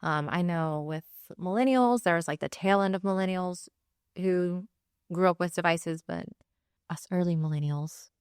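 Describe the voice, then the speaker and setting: A woman in her thirties talks casually as a podcast co-host. Her voice sits a little on the low side. The recording is dry, with hardly any room sound. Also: a little slow, darkish tone, clear, resonant tone, no room sound. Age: 30s. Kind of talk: casual chat.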